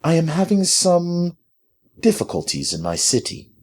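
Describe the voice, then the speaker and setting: A man voicing a polite, authoritative British mistress in a formal, measured manner for a story. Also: mid-range voice, big pitch swings, a little mellow, pitched above the performer's own voice. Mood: composed.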